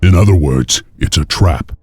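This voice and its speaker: A man playing a weary, resolute clan lord and hero in a video game, speaking tersely and gravely. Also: low-pitched, moderate pace, dry recording, soft background music. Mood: wary. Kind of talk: dramatic video game acting.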